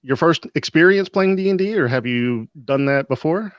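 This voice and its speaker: A man's voice: on the high side, animated, moderate pace, clean tone, dry recording, muffled recording. A man hosting a streamed interview over a video call, upbeat, with frequent hesitations. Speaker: host.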